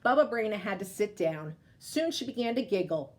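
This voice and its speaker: A woman's voice: medium pitch, moderate pace. A woman storyteller reading a picture book aloud to children, expressively and speaking straight to her young listeners. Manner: animated, addresses young listeners directly.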